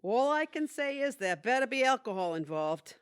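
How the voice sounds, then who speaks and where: Woman as a high-voiced, wisecracking New grumbler. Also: moderate pace, clean tone, dry recording, clean studio sound. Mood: sardonic. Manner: sardonic, deadpan complaining. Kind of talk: audio theatre comedy acting.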